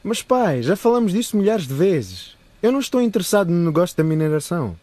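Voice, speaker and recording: Defiant teenage schoolboy heir, high-pitched, radio serial dialogue. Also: male voice, very animated, fast, dark tone. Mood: reluctant. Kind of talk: radio drama acting.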